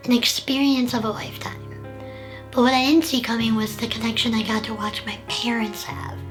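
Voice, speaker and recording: A woman's voice: on the high side, little pitch movement, brisk, over music, rough recording. A young woman hosting a personal documentary, narrating and interviewing in an earnest, candid way. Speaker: documentary host with the condition.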